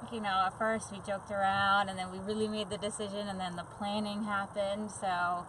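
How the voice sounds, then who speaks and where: A woman's voice: mid-range voice, flat delivery, normal speed. A woman in her thirties from Argentina, talking easily with fillers as an interview guest in a parked van. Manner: easygoing, fillers. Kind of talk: casual interview. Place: parked van among trees. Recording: loud noise in van.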